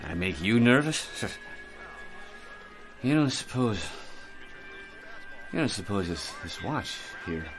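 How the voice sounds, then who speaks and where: Giggly, rambling tipsy barfly. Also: male voice, lowish voice, very animated, slow, long pauses, over music. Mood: slow wonder. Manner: rambling, stammering, repeating himself. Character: tipsy, rambling, over-eager male barfly bore. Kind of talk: radio drama acting.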